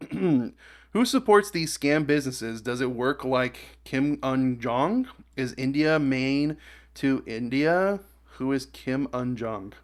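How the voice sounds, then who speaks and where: A man hosting a casual reaction video, with a mid-pitched voice. He reads comments aloud and adds his own remarks in an offhand way, often halting and stumbling as he goes.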